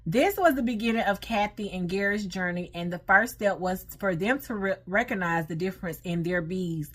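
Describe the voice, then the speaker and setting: A woman's voice: mid-range voice, normal speed. Casual American woman talking to her followers. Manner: informal, conversational, asks listeners rhetorical questions. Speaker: online host reading and commenting on a marriage lesson. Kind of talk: casual talk-through of read material with personal commentary.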